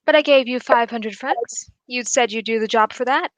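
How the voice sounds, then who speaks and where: A high-pitched, shrewd gentleman detective of old radio drama, played by a woman. The English sleuth is unflappable, formal and quietly amused, choosing words with measured courtesy. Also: lo-fi sound, lightly acted. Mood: amused, firm. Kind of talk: 1940s radio drama acting.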